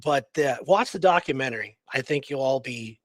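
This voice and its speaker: A man co-hosting a radio show, talking casually and excitedly in an informal, rambling way. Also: medium pitch, normal speed, dry recording. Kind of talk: casual enthusiastic discussion.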